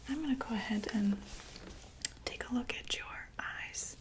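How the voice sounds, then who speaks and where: A woman whispering softly in a breathy ASMR medical roleplay, gently and tentatively talking through each step as a doctor. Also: fairly high pitch, moderate pace. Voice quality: breathy, mostly unvoiced whisper.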